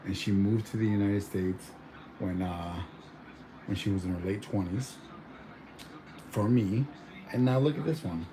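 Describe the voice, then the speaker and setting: A man's voice: fairly low pitch, a little slow, pauses often, noisy background. A young man born in Mexico chatting casually at home, rambling with lots of fillers and restarts. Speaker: vlogger. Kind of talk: casual chat.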